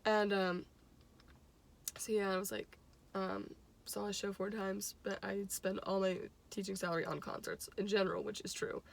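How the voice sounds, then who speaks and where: A young adult woman casually telling a personal story in an animated, giggly way, acting out bits of dialogue as she goes. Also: mid-range voice, normal speed, creaky. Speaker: teacher and music fan telling a personal anecdote.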